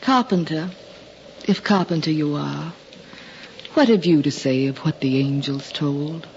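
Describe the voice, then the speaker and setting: An actress in a 1950s radio drama playing a tender, anxious, hopeful aunt with an earnest, theatrical delivery. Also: female voice, sits low, a little slow, a little hiss or hum. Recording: muffled old radio recording.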